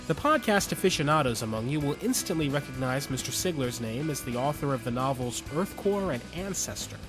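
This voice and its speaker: A man hosting a podcast and reading fiction from a script, earnest and measured, with deliberate phrasing. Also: on the high side. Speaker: podcast host and story reader. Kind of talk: scripted commentary and story narration.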